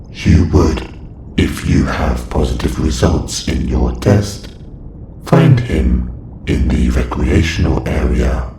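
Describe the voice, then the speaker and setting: A man acts out a calm, clinical robot caretaker in a science fiction audio roleplay. His deep male voice moves slowly through stilted, precise sentences. The recording is roomy and echoing. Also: heavily acted. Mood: clinical, advisory. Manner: stilted, precise, formal phrasing. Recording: roomy echo, faint background noise.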